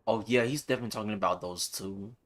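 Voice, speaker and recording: A man's voice: on the low side, quick pace, a bit bright. A man giving casual live commentary as he plays a game, laid-back and muttering reactions to himself. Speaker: game streamer.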